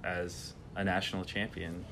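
A man's voice: sits low, moderate pace, background noise. A young man hosting a tennis vlog, asking a player casual, friendly questions and then signing off to viewers in a press room. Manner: relaxed, friendly questions with frequent "kinda" and "mm-hmm". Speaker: tennis vlogger and interviewer. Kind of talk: casual interview and vlog sign-off.

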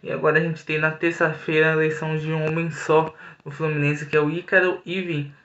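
A man's voice: a little high, flat delivery, brisk, bright, forceful tone, slight background noise. A young man giving an earnest solo football analysis to camera, with frequent hesitations and restarts. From Brazil. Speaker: football commentator. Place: small indoor room. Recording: echoey room, slightly dull audio.

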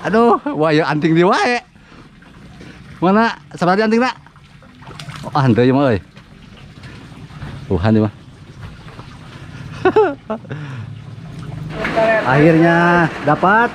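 A man in his thirties with a Sundanese accent narrates his fishing outdoors, his voice bouncing up and down with eager excitement. He speaks slowly and a little high, with a faint hiss of outdoor noise behind him, recorded on a body-worn camera. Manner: excitable, laughing, yelps when fish bite. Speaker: fishing vlogger. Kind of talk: excited live commentary.

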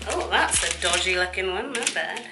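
A woman's voice: lowish voice, animated, normal speed. A young woman casually narrating her cooking in a home kitchen, chatty and informal, with playful asides. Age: young adult. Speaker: cooking vlogger. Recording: music and frying sizzle behind voice. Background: music, sizzling food, stirring.

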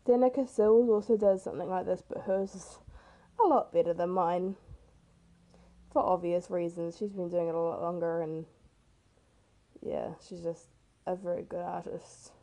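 A teenage girl with a rough, hoarse voice, casually narrating her own speed painting in a chatty, self-deprecating way. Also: lowish voice, fairly even intonation, moderate pace, mellow. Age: teens. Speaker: art vlogger. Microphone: camera mic.

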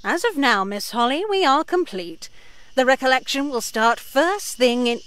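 A woman's voice: high voice, expressive, moderate pace, a bit bright. A woman voicing a stern, pious older nun in a visual novel, speaking in a solemn, formal, preaching manner. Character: stern, pious elderly nun running a convent retreat. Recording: noisy game stream audio.

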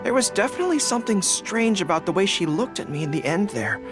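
Animated, flustered young dog-eared general. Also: male voice, high voice, fast. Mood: uneasy, earnest. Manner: earnest, flustered, emphatic. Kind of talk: acted video game dialogue. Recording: music behind the voice.

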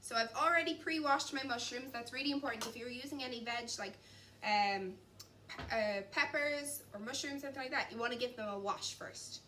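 A woman's voice: fairly high pitch, brisk, bright, forceful tone. Girl in her 20s doing a cooking demo, chatty, mic kinda far off in a big kitchen. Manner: chatty and encouraging, talks while chopping and mixing. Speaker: cooking instructor. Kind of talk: cooking demonstration. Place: large indoor kitchen. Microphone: camera at a distance. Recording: distant mic, faint background noise.

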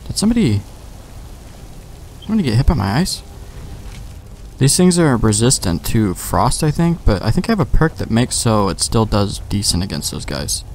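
A man's voice: lowish voice, very animated, normal speed, long pauses, darkish tone, slight background noise. A man giving casual, rambling gameplay commentary, thinking aloud with some mild swearing. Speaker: let's play host. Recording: game music faintly behind. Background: faint game music.

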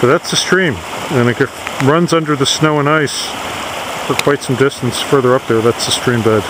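A man's voice: mid-range voice, normal speed. Man narrating a ski trip in the wind. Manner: relaxed, chatty, admiring the scenery. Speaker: cross-country skier filming his outing. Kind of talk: casual outdoor vlog narration. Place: snowy forest and mountaintop outdoors. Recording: wind noise on camera mic. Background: wind and flowing water.

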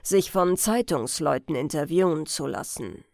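A woman's voice: low voice, lively intonation, a little slow, a little mellow, no echo, clean studio sound, voice pushed down. A woman reading the part of a stern, emphatic male chief inspector in an audiobook crime story. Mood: stern, threatening.

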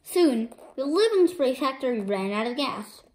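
A woman reading a children's comic book aloud as an expressive storyteller. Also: high voice, moderate pace, slight background noise, poor audio. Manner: expressive, voicing sound effects.

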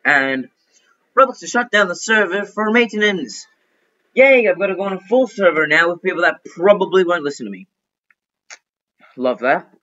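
A young man talks his way through an online game in a chatty, excitable manner, pleading and fretting out loud. His voice is bright and sharp. The recording is dull and lo-fi, like a cheap desktop mic. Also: fairly high pitch. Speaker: gaming YouTuber. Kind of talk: casual gameplay commentary.

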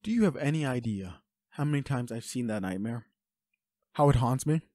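A man's voice: medium pitch, expressive, normal speed, a little mellow, no echo, studio quality, lightly acted. A man playing an anguished, protective werewolf boyfriend in an audio roleplay, speaking with urgent, pleading emotion. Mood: anguished, firm. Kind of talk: intimate dramatic roleplay acting.

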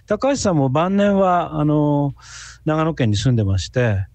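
A man's voice: a little high, lively intonation, unhurried, a little mellow, no room sound. A man in his sixties chatting casually as a radio guest over an online call. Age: 60s. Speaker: guest archaeologist. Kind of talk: casual interview chat.

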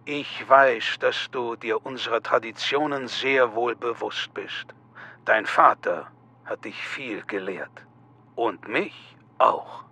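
An actor playing a sinister, taunting cult leader in an audio drama, measured, menacing and husky. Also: male voice, mid-range voice, sharp tone, heavily acted. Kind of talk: German audio drama acting.

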